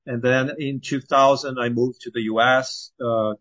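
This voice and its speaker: A man's voice: medium pitch, fairly even intonation, a little slow, a bit bright, no room sound, highs cut off. A middle-aged man from Brazil answering interview questions in a conversational way, informally thinking aloud as he goes. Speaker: podcast guest, climate scientist and founder.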